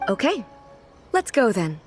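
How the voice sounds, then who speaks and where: A woman's voice: mid-range voice, very animated, a little slow, music in the background. A woman playing a dignified, earnest, literal-minded ruler in a video game, formal and composed. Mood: puzzled.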